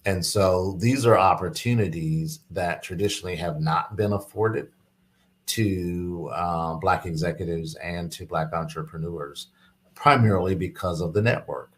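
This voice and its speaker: A man speaking as an interview guest, explaining business matters in a conversational pitch. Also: unhurried.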